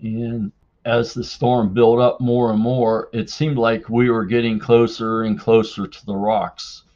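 A man telling a personal story casually in an unhurried, folksy way, as in a listener's voicemail. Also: medium pitch, flat delivery, darkish tone. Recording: dull, lo-fi voicemail-like sound.

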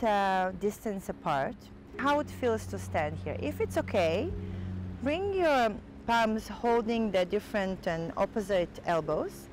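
A woman teaching yoga, giving slow, soft step-by-step cues on breath and stretching, with a voice. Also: fairly high pitch, background noise. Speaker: yoga instructor. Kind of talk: guided yoga instruction. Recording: voice over loud music.

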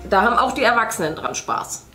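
A woman's voice: on the high side, sing-song, fast talker, sharp tone. A middle-aged woman, chatting casually as she paints indoors. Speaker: host, mother. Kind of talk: casual chat while painting. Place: indoor room at a craft table.

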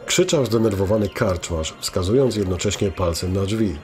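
A man's voice: on the low side, normal speed, a little mellow. A man narrating a scripted fantasy audio drama as its storyteller. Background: music behind the voice.

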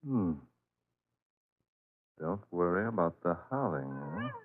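An actor playing an uneasy, inquisitive houseguest in 1940s radio drama style, his voice husky. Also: sits low, expressive, slow pace, heavy background noise. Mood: wary, investigating. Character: uneasy, inquisitive man visiting an old friend. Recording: muffled, lo-fi old radio broadcast.

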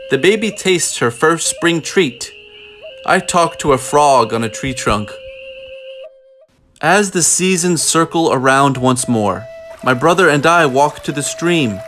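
A man reading a book aloud in a measured, deliberate way, pausing often between phrases. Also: fairly high pitch, fairly bright tone. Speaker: storybook reader. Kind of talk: children's book read-aloud, scripted narration.

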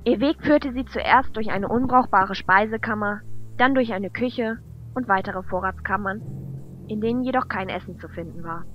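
A woman narrates a scripted fantasy radio play. Her voice sits at a middle pitch. The recording is somewhat dull and lo-fi, with soft music behind it. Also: normal speed. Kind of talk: scripted audio drama narration. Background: background music.

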